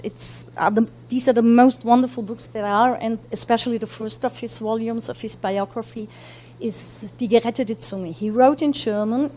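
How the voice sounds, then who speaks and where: Fast-talking woman lecturing with many fillers. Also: mid-range voice, darkish tone, slight background noise. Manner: talks quickly with many fillers and self-corrections. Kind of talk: academic lecture. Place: university lecture room.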